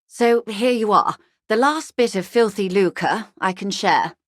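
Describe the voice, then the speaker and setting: An actress playing a wealthy corporate negotiator in a video game, refined and polished, speaking with urbane, wryly courteous, measured irony. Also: female voice, medium pitch, no echo, clean studio sound, lightly acted. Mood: wry, composed. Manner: urbane, measured, dryly ironic. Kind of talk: scripted game dialogue, conversational.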